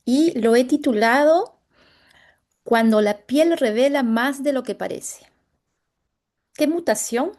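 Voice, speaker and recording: A Latin American woman, a dermatologist, presenting a medical case over a video call in a halting way, pausing often to search for words. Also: high-pitched, a little mellow. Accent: Latin American Spanish.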